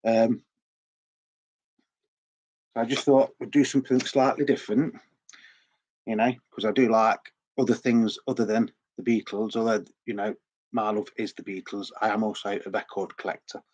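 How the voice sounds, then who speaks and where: A man's voice: fairly low pitch, steady tone, normal speed, long pauses, a little mellow. A middle-aged man with a sore throat, chatting in a rambling, repetitive way as host of a record collecting vlog. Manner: rambling, chatty, repeats words often. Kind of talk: casual vlog chat.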